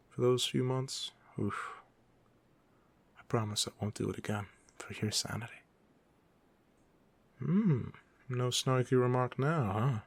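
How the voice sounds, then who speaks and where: A cocky young supervillain voiced by a man talks close and dry, with a creaky, gravelly edge. He is smug and teasing in a casual, conversational way, with a soft heart underneath, as in an intimate roleplay audio drama. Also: mid-range voice, dry recording. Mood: smug, relaxed.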